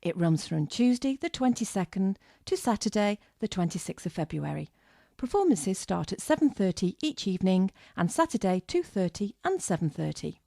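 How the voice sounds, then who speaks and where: A woman reads a scripted promotional announcement. Her voice is mellow and mid-pitched with a creaky edge, in a dry, close recording. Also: big pitch swings, normal speed, no room sound.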